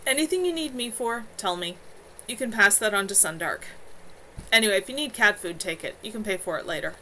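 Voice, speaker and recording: A woman's voice: medium pitch, expressive, fairly quick, pushed and bright. A woman voicing a shaken, caring female shopkeeper in a storybook reading. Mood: gruff kindness. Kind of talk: amateur storybook dialogue reading. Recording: noisy home livestream audio.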